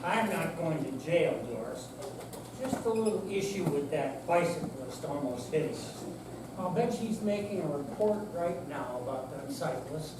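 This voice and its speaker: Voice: medium pitch, lively intonation, unhurried, close to an everyday voice. An older reader plays an affable old married man, evasive and stubborn, with a mild, hesitant manner. The staged reading is recorded from a distance in a very echoey, noisy room. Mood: defensive. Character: elderly husband, affable, stubborn, evasive driver. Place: senior center hall, live performance. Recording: echoey room, distant mic, loud noise.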